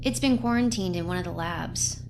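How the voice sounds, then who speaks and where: A woman's voice: lowish voice, moderate pace, vocal fry, background noise. A woman playing a nervous but eager lab scientist in a science fiction audio drama, chatty and a little hesitant. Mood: hesitant, awkward.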